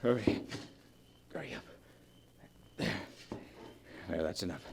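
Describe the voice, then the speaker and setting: A man's voice: lowish voice, lively intonation, slow pace, gravelly, exaggerated voice. An actor playing a desperate, obsessed man in a 1940s radio drama, urgent and melodramatic. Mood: urgent, insistent. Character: desperate, obsessed young husband, pleading and insistent. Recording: noisy vintage 1940s radio broadcast.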